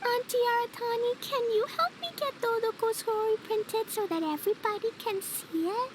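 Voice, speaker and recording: A woman's voice: very high voice, normal speed, a little hiss or hum, rough recording. A woman voicing an innocent, sweet little girl in a video game, childlike, eager and guileless.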